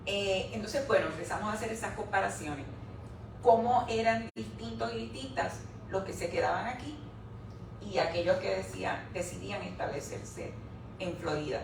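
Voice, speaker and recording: A woman's voice: mid-range voice, moderate pace, creaky. A middle-aged woman, standing to present her research chapter to an audience at a book presentation, explaining it step by step. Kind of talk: academic talk at a book presentation. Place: room at a live presentation event. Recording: echoey room, distant mic, background noise.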